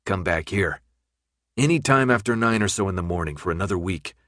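A man's voice: deep voice, animated, a little fast, a bit bright, dry recording, clean studio sound. Terse, guarded young rebel man voiced by a narrator.

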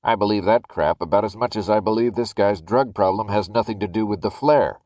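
A man's voice: lowish voice, fairly quick, a little mellow, no room sound, studio quality, natural voice. A man voicing a callous, sneering masked guard in an audiobook, barking threats and gloating. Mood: threatening. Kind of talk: audiobook character acting.

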